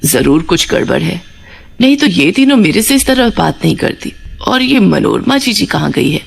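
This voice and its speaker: A woman's voice: lowish voice, very animated, normal speed, creaky, husky, heavily acted. A woman voicing a loving, exasperated older mother-in-law who keeps the peace in a cartoon family story. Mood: puzzled, worried. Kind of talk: Hindi cartoon moral story acting.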